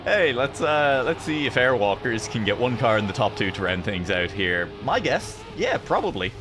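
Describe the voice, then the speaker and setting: A man's voice: mid-range voice, very animated, moderate pace, music in the background. A man co-casting a live racing esports match, playful and giggly and often joking about himself. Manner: playful, giggly, self-deprecating. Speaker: esports co-caster. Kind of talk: casual live esports commentary.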